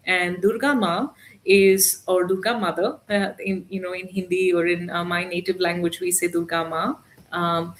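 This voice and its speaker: A woman hosting a live spiritual channeling stream, speaking softly and gently with many hesitant fillers. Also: medium pitch.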